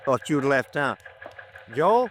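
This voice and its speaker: A man playing a busy, distracted police lieutenant in a 1950s radio drama, brisk and preoccupied. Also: medium pitch, big pitch swings, a bit bright. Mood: harried.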